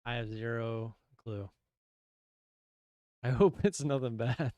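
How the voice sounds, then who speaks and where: A man's voice: medium pitch, slow, darkish tone, no echo. Laid-back man hosting a stream. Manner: laid-back, rambling, laughs easily. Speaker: stream host. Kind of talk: casual chat on a live trading stream.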